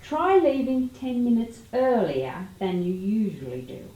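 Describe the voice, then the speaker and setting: A woman playing an older, exasperated, sharp-tongued radio actress with a dry manner in a comedy. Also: a little high, measured pace. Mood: exasperated, patronising. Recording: roomy echo.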